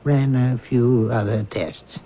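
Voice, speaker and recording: A calm middle-aged doctor asks patient questions in a measured, level voice, as an actor plays him. He stays steady and firm as he probes. The sound is the dull, muffled tone of an old radio broadcast. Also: mid-range voice, slight background noise, lightly acted. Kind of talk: 1970s radio drama acting.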